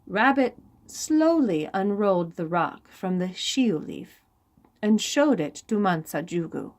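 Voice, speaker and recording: A woman reading a children's story aloud in an expressive, deliberate and dramatic way, pausing for young listeners. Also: medium pitch.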